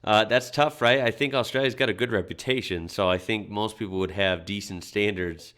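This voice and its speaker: A man's voice: on the low side, a little fast, fairly bright tone. An American man hosting a reaction video, talking in a chatty, loose way with frequent fillers and restarts. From United States. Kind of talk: casual reaction commentary.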